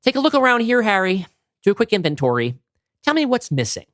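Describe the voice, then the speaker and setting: A man's voice: high voice, sing-song, fast, dry recording, clean studio sound, voice pushed up. A man reading the part of an exasperated, sarcastic housewife in her forties in a script read, scolding in pointed questions. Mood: irritated. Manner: sharp, nagging, sarcastic rhetorical questions. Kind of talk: amateur script table read.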